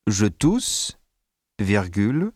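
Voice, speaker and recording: A man reading a school dictation text slowly and deliberately, speaking the punctuation marks aloud. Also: on the low side, big pitch swings, a little mellow, no echo, studio quality. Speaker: dictation reader.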